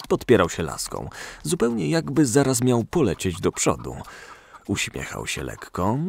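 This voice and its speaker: A man's voice: deep voice, creaky, a little mellow, slight background noise. A man reading scripted narration for a fantasy audio drama as its storyteller.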